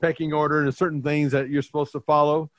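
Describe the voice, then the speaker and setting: A man's voice: on the high side, little pitch movement, quick pace, no room sound. An older man hosting a casual interview, telling stories and giving emphatic advice. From Portuguese family, dairy upbringing. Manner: emphatic, storytelling, gives advice. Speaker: host, bodybuilding coach.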